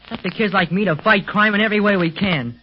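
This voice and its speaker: A man's voice: high-pitched, fast, lightly acted. A male actor playing an eager, modest fifteen-year-old boy in a 1930s radio adventure serial, earnest and respectful. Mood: determined. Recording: muffled, noisy 1930s radio recording.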